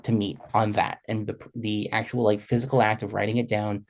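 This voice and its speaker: A man's voice: lowish voice, little pitch movement, brisk, gravelly, low-quality recording. A man in his twenties speaking as a panelist in a reflective conversation, earnest and often correcting himself as he goes. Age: 20s. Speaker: panelist, freelance musician.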